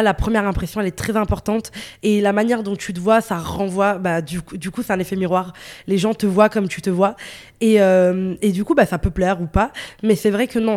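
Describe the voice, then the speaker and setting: A woman's voice: mid-range voice, a little mellow. A woman in her late twenties from northern Italy, a guest chatting casually and bubbly in an interview, laughing easily and full of fillers. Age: 20s.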